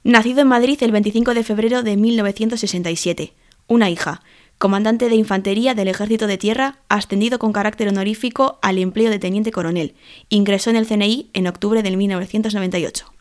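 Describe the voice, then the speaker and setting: Female reader, formal memorial read-out, steady and even. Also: medium pitch, fast talker. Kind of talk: scripted reading.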